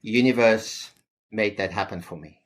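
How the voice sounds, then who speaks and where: A man's voice: lowish voice, moderate pace. Man, thoughtful podcast guest. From South Africa. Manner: thoughtful, hesitant with fillers. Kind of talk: podcast interview.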